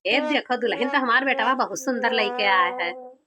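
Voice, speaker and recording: A woman's voice: a little high, moderate pace, crowd noise, natural voice. A woman voicing a coaxing, practical village mother with an Awadhi accent in a cartoon comedy. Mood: puzzled. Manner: coaxing, questioning.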